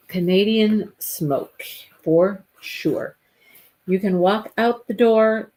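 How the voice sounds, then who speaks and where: A woman's voice: on the low side, darkish tone. A woman hosting a live stream, chatting casually with viewers by name and repeating her phrases, her voice stuffy and congested. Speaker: livestream host.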